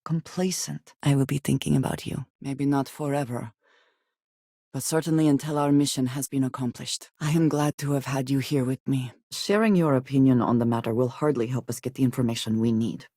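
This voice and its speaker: A woman voicing a guarded, devout space adventurer and loyal companion in a video game, speaking in formal, measured diction. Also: extremely low pitch, fairly even intonation, dark tone, no room sound, clean studio sound. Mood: earnest, tender. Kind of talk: video game character dialogue.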